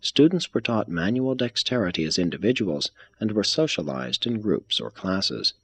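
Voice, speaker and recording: A man reading a religious text aloud as scripted narration, in a measured, formal manner. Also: fairly low pitch, big pitch swings, slightly rough. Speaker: reader.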